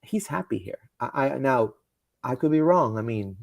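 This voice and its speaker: A man's voice: mid-range voice, expressive, moderate pace, gravelly, a little mellow, dry recording. A man hosting a casual live soccer stream, rambling with lots of fillers and stumbles as he reads comments and rumors. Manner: rambling, with frequent fillers and repeated words. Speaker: podcast host.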